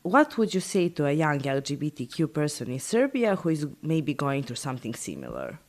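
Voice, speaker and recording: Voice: medium pitch, huge pitch swings, a little fast. An interviewer reading questions with some fillers.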